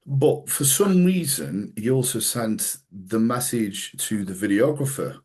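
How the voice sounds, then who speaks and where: A man hosting a casual podcast chat, swearing freely and telling animated stories with quoted voices. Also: mid-range voice, a little slow, husky. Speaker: podcast host and coach.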